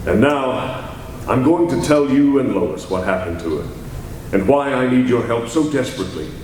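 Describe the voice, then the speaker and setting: A man playing an earnest, grave newspaper reporter telling a secret story with deliberate drama in an old-time radio serial. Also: mid-range voice, lively intonation, a little mellow, natural voice. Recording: echoey, distant mic with faint noise.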